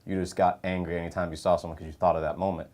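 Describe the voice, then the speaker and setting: Young man panel guest, deep low voice, rapid casual storytelling. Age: young adult. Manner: fast, casual, animated anecdote telling.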